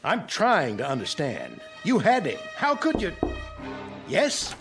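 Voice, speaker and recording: An actor playing a stern, easily exasperated general in a radio drama, speaking formally. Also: male voice, on the high side, huge pitch swings, slow, over music, heavily acted.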